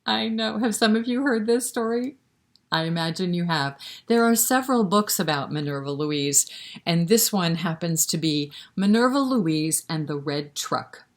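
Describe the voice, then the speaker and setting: A woman with a fairly low voice reads for a children's storytime. She speaks straight to young listeners, encouraging them to join in, with a rhythmic feel suited to nursery rhymes. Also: expressive, normal speed. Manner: encouraging, interactive, talking directly to young children. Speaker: children's library storytime reader.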